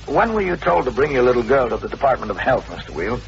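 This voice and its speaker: An actor playing a patient, firm police captain in a 1950s radio drama, measured and matter-of-fact. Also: male voice, medium pitch, big pitch swings, vocal fry. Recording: muffled, noisy old radio recording.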